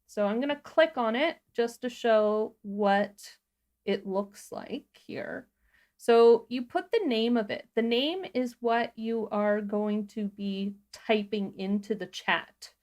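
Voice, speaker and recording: A woman co-hosting a livestream, explaining software step by step in an informal, casual tutorial style. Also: mid-range voice. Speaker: livestream co-host demonstrating a tutorial.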